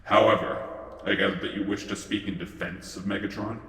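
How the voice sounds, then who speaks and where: Stern presiding robot judge, acted by a man, low, formal, authoritative, heavily echoed courtroom sound. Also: flat delivery, brisk, a bit bright. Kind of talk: dramatic reading of a courtroom scene. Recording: very echoey hall, faint noise.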